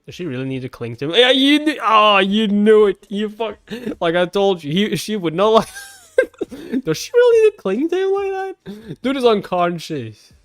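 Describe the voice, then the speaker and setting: A man's voice: sits high, sing-song, normal speed, a bit bright, clean tone, faint music behind. A man reading a webtoon aloud and reacting casually, exclaiming and swearing with mocking disbelief. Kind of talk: casual live reaction and read-along.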